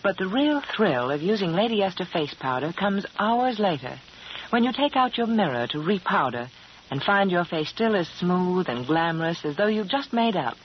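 A woman's voice: lowish voice, big pitch swings, normal speed. A woman delivering a scripted 1940s radio advertisement in a polished, persuasive, emphatic manner. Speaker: beauty advertiser. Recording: muffled, hissy 1940s radio broadcast.

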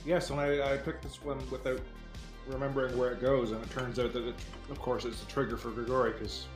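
A man's voice: mid-range voice, level tone, brisk, faint music behind. A man co-hosting a podcast in casual, joking banter, with a dry, sarcastic, teasing manner. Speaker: podcast co-host.

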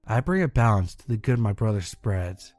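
Man doing a creepy hissing monster for a storybook read, loud and dry. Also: fairly low pitch, expressive, moderate pace, no room sound, faint music behind, natural voice. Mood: menacing. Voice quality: hissing, snake-like. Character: thin hissing male Christmas monster, sinister.

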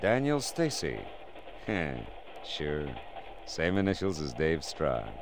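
An actor playing a wry, world-weary private detective in a 1940s radio drama, with a clipped, tough delivery. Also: male voice, extremely low pitch, sing-song, takes it slowly, slight background noise, heavily acted. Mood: grim, wry. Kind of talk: 1940s radio drama acting and first-person narration.

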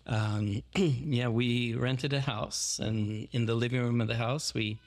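A man's voice: medium pitch, steady tone, slow. A man who plants churches as a missionary, telling his story in a podcast interview with many fillers and restarts.

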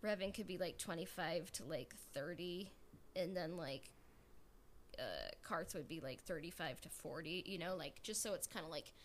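A woman's voice: fairly low pitch, expressive, moderate pace. Chatty woman podcaster with background noise. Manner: rambling, full of "like" and "you know". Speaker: podcast host. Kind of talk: casual chat.

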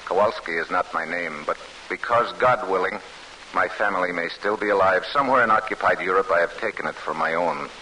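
Deep-voiced, defiant wartime refugee. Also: male voice, sharp tone, slight background noise, poor audio. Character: weary, defiant young Polish-American refugee man, earnest and determined. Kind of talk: 1940s American radio drama acting. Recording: old radio recording, faint music.

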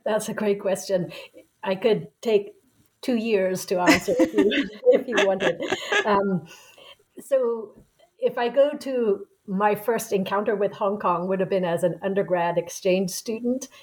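A woman in her sixties, a professor being interviewed as a guest, telling her story in a reflective, unhurried way. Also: medium pitch, a little mellow, poor audio. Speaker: guest author, anthropology professor. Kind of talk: conversational interview answer.

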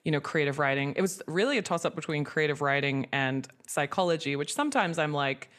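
A woman's voice: low-pitched, brisk, a bit bright. A woman from Hong Kong and Australia speaking candidly and casually as an interview guest, with a chatty, informal way of talking. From Australian who grew up in Hong Kong. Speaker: podcast guest, entertainment executive and writer.

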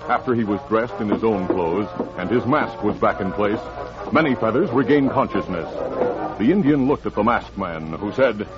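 A man's voice: on the low side, expressive, moderate pace. A man narrating and announcing a western in dramatic old-time radio style. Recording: muffled vintage radio broadcast. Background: music.

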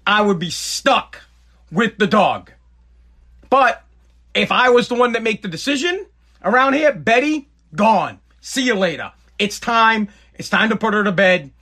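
High, bright-voiced ranting man. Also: animated, unhurried. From Boston area, New England. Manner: ranting, blunt, profane, repeats himself. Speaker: podcast host. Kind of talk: live-streamed podcast rant.

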